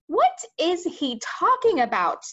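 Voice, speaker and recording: Woman reading as a testy, animated air force chief. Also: extremely high pitch, takes it slowly, dull audio, pitched above the performer's own voice, heavily acted. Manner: testy questioning.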